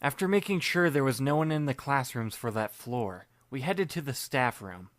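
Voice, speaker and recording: A man's voice: medium pitch, animated, normal speed, no echo. A young man reading first-person audiobook narration in a dry, wry, understated manner. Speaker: narrator and lead reader.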